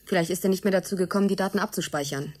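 A woman's voice: on the low side, rapid-fire, a little mellow, natural voice. An actress playing a curious, incredulous woman investigator in a naturalistic German radio drama, speaking in a conversational, inquisitive way. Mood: pondering.

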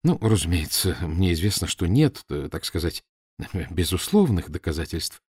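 A man's voice: low voice, big pitch swings, moderate pace, a little mellow, slightly rough, no echo, clean studio sound, pitched below the performer's own voice, exaggerated voice. A man voicing a nervous young reporter who talks hesitantly and stumbles through his words. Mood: flustered. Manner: hesitant, fumbling, full of fillers. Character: young male newspaper reporter, nervous and bluffing.

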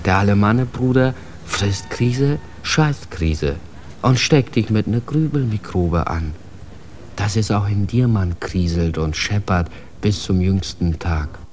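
A streetwise man with a low voice, delivering a radio drama monologue. He is scornful and insistent, declaiming in earthy slang like poetry from the street. Faint noise sits behind the words. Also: animated, slow. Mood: urgent, scornful. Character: streetwise, scornful male monologist preaching mercy in rough slang.

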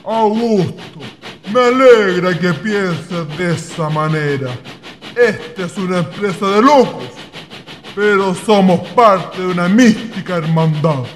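A man playing a dreamy, enthusiastic young writer in a radio drama, with measured literary phrasing. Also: sits high. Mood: earnest. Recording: clear ambient noise behind.

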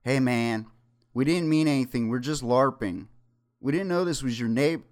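A man's voice: medium pitch, moderate pace, a little mellow, pitched above the performer's own voice, lightly acted. A man voicing a timid young dungeon master who stammers and pleads nervously. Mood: pleading.

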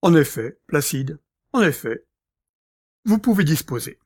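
A man playing a courteous, gossipy gentleman master in a French audio drama, speaking formally. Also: a little high, big pitch swings, a little slow, slight background noise. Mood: urbane, amused.

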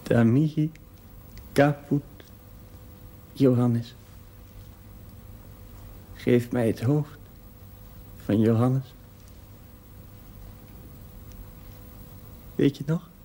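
A man's voice: medium pitch, fairly even intonation, extremely slow, pauses often, warm tone. An actor playing a dying man in a television drama, bitter and regretful, speaking in laboured, straining fragments. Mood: delirious, wry. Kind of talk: television drama deathbed acting.

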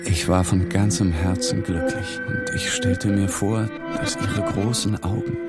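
A man's voice: low voice, a little slow. A man narrating a literary interior monologue in a dramatic scripted style. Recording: loud music over the voice.

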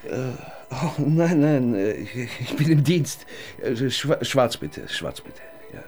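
A man's voice: medium pitch, expressive, slow, darkish tone, faint music behind. An actor playing an awkward, flustered male police assistant in a radio crime drama, stammering through his replies.

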